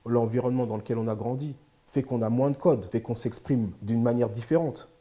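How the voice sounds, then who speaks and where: Middle-aged man, dark and rapid, emphatic interview guest. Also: mid-range voice. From France. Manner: steady, emphatic, builds points in repeated short phrases. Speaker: interview guest, lawyer and sports agent. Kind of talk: candid interview talk.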